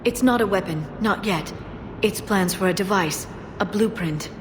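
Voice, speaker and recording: A woman playing an earnest, soft-spoken alien scientist in a video game, measured and precise but full of self-doubt. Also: medium pitch, background noise, close to an everyday voice. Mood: earnest, explaining. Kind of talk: dramatic video game voice acting.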